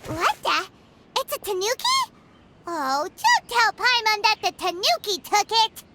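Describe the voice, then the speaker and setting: A woman voicing a tiny, excitable female companion creature in a video game, with a squeaky, chirpy voice. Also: a little slow, heavy background noise, exaggerated voice. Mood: surprised. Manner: squeaky, chirpy, speaks of herself by name.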